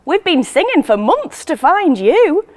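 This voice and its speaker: A woman voicing a blunt, affectionate older choir friend, calling out loudly and colloquially in a dramatic monologue. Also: extremely high pitch, big pitch swings, unhurried, in a large hall, pitched above the performer's own voice, full character voice. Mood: hearty, affectionate.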